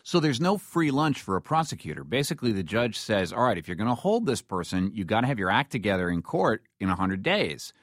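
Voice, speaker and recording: A man's voice: on the low side, very animated, brisk, hardly any pauses, no room sound, clean studio sound. A man hosting an interview, asking questions in a direct, plain-spoken, conversational way. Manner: direct, plain-spoken, rephrases points colloquially. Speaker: interviewer, host.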